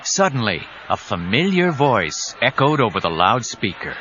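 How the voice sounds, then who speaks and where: A man's voice: mid-range voice, big pitch swings, moderate pace, pushed and bright, a little hiss or hum. A man narrating an audio drama in an emphatic, suspenseful storytelling style. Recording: dull 1990s cassette audio with music.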